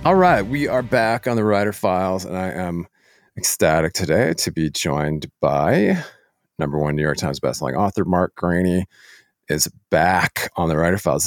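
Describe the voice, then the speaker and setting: Low-pitched male podcast host, lively intonation. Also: moderate pace, no room sound, studio quality. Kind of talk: scripted podcast intro and ad reads, interview chat.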